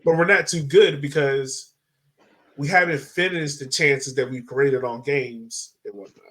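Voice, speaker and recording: A man co-hosting a sports podcast in informal, halting chat peppered with filler words. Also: mid-range voice, steady tone, a bit bright, husky.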